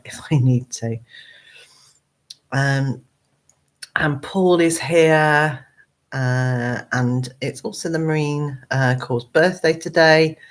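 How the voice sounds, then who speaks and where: A woman with a hoarse, croaky voice, hosting a casual live chat from her computer at home, rambling with many ums and uhs. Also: a bass voice. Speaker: gardening channel host.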